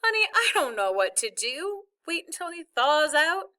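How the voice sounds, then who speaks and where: A woman's voice: high-pitched, sing-song, normal speed, bright, forceful tone, pitched above the performer's own voice, exaggerated voice. A woman voicing a teasing, amused father in his thirties in a storybook reading. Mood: explaining.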